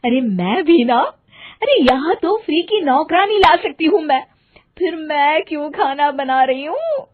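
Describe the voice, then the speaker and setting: A woman's voice: sits high, expressive, measured pace, narrow, tinny audio, pitched above the performer's own voice. A woman voicing a scheming, quarrelsome middle-aged village stepmother in a theatrical, scolding cartoon style. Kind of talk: Hindi cartoon story voice acting.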